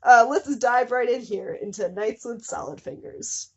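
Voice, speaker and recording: Slightly husky young woman, wryly amused. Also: a little high, measured pace, highs cut off. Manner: amused, self-interrupting, mock-suffering. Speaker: host of a small channel. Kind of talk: casual comedic dramatic reading of fan fiction with asides.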